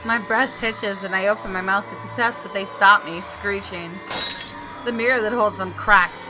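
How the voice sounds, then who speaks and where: A woman's voice: mid-range voice, fairly even intonation, moderate pace, music in the background, rough recording. A woman gaming YouTuber reading visual novel narration aloud expressively, with casual asides.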